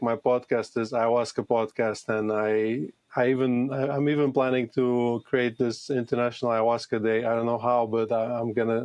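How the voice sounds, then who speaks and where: A man in his thirties with a Latvian accent, talking conversationally as an interview guest in a halting, reflective way. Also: mid-range voice, darkish tone, no room sound. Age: 30s. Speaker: podcast guest, retreat founder.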